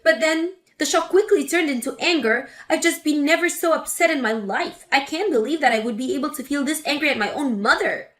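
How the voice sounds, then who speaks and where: A woman's voice: high-pitched, a little fast, few pauses. A woman as an indignant grown daughter. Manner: sharp, indignant. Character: angry grown daughter defending her sister-in-law.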